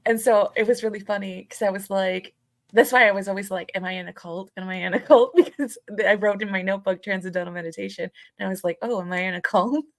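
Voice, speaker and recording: A woman's voice: mid-range voice, steady tone, fast. A woman co-hosting a live podcast, chatting informally and hesitantly as she searches for her words. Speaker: podcast co-host. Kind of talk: casual live podcast chat.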